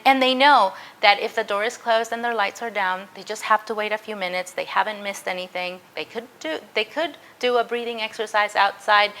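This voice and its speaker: Bright-voiced woman teaching a workshop. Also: medium pitch, creaky, low-quality recording. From Mexico. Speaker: university professor. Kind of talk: interactive workshop presentation.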